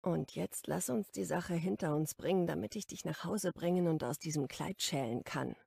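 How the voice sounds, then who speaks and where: A woman's voice: low voice, normal speed, vocal fry, a little mellow, husky, heavily acted. A woman voicing a teasing young man.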